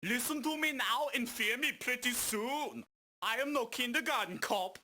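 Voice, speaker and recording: A man's voice: extremely high pitch, normal speed, bright, forceful tone, a little hiss or hum, full character voice. A man voicing a pompous, boastful muscle-bound game villain, making grandiose taunts. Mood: threatening. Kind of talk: exaggerated video game cartoon acting.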